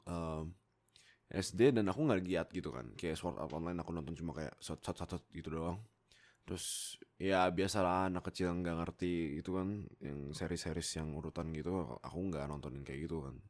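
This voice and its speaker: A man's voice: deep voice, normal speed, a little mellow. A young man with an Indonesian accent telling personal stories in a casual, rambling, self-deprecating way into a desktop microphone. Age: young adult. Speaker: YouTube content creator and amateur voice actor. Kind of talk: casual chatty personal storytelling. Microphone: Fifine K690 desktop USB microphone.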